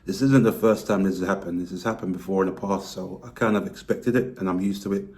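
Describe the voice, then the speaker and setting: A man with a hoarse, worn-out voice, talking candidly to camera in a casual vlog and explaining things. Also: fast. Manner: candid, explanatory, lively pitch rises. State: hoarse, lost voice. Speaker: vlogger.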